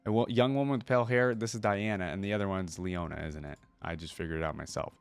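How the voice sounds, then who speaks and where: Low-voiced man in a dry, close recording. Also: fast, gravelly, clean tone, no room sound, faint music behind. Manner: breaks off to muse aloud and explain. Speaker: reaction video host. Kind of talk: reading a story aloud with casual commentary.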